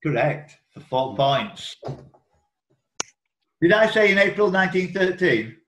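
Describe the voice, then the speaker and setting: Echoey, higher-pitched man hosting a quiz. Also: very animated, unhurried, pushed and bright, a little hiss or hum. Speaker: quiz host and question setter. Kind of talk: reading quiz questions, informal banter. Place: indoors, on a group video call. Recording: echoey room over video call.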